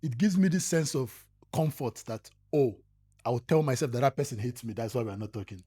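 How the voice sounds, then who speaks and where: A man's voice: medium pitch, fairly quick, dark tone. A man in his thirties from Nigeria with a Nigerian accent, telling stories animatedly and laughing often in a casual podcast chat. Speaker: podcast co-host.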